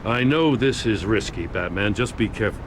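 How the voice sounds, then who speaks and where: A man's voice: on the low side, animated, normal speed, lightly acted. An actor voicing a weary, earnest middle-aged male police commissioner in a video game. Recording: game audio with background noise.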